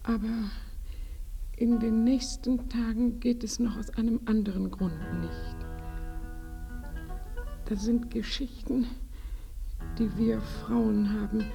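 An actress in a radio play voicing a bashful, flustered village bride-to-be who is devout and humbly grateful, hesitant and often close to whispering. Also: female voice, on the high side, fairly even intonation, slow, creaky, long pauses, mellow, soft background music.

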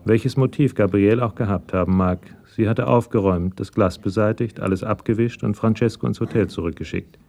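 A man narrating a scripted crime radio play in a measured, matter-of-fact storytelling manner. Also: fairly low pitch, creaky, warm tone.

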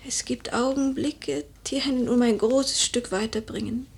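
A woman playing a wistful, proud noble widow in a German literary radio play, measured and poetic with hushed, breathy phrases. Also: on the high side, steady tone. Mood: melancholy, guarded.

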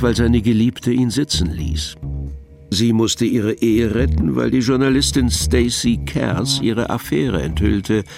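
A man reading scripted radio drama narration in a measured, matter-of-fact way. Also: medium pitch, gravelly.

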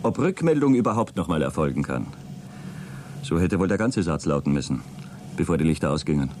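A fatalistic astronaut in a radio play. The voice is deep and lively, rising and dropping with sardonic, taunting theatricality. Faint noise lies behind the speech. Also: male voice, brisk. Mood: grim, resigned. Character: astronaut Albert, cynical, fatalistic, mocking space crewman. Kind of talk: German radio play acting.

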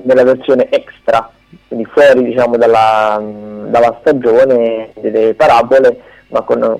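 A man chatting unscripted on the radio as he runs through theatre listings, halting and often correcting himself. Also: medium pitch, vocal fry, warm tone, highs cut off. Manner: hesitant, halting, self-correcting. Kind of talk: unscripted radio chat, event rundown.

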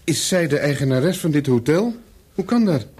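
An actor playing a brooding former secret agent in a radio play, husky and measured, haunted by his memories. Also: a little high, lively intonation, a little mellow. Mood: agitated, urgent. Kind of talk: radio play acting with first-person narration.

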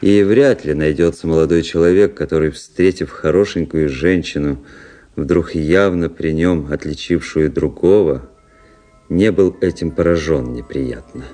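A man acting a cool, self-analysing young gentleman officer who narrates a radio play in a measured, detached manner. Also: rumbling low, soft background music. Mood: detached, reflective. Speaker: narrator and lead character.

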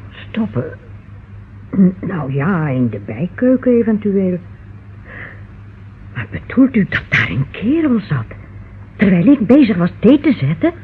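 An actor playing a homely, chatty and slightly flustered character in an old radio crime drama, speaking hesitantly. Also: male voice, high-pitched, big pitch swings, a little slow, long pauses. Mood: surprised, flustered. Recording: tinny, noisy old radio recording.

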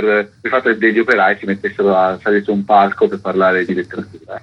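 A man's voice: medium pitch, breathy edge. An Italian man speaking as a festival director in a radio interview, explaining things in an earnest, discursive way with filler words. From Italy. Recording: dull, band-limited radio interview sound.

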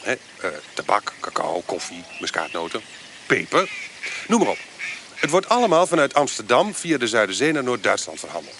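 An actor playing a glib trader in colonial goods in a radio play, persuasive and full of hesitations. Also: male voice, medium pitch, wildly swooping pitch, moderate pace, background noise.

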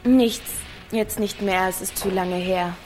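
A woman's voice: mid-range voice, fairly even intonation, slow pace, a little mellow, soft background music, close to an everyday voice, poor audio. A woman playing a wary, blunt but shyly affectionate teenage village girl, speaking tersely and dryly in a radio drama. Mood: blunt, irritated. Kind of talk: naturalistic radio drama dialogue.

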